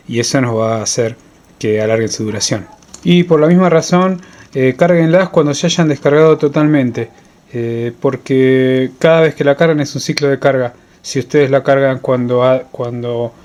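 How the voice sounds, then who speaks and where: Man explaining, noisy background. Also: medium pitch. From Argentina. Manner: didactic, checks understanding with short questions. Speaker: outdoor guide giving a tutorial. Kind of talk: informal instructional explanation. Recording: clear steady ambient background noise.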